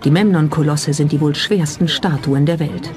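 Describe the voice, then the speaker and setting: A documentary narrator delivers scripted lines at a medium pitch, with pointed skepticism and a rhetorical edge. Music plays clearly behind the voice. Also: moderate pace. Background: background music.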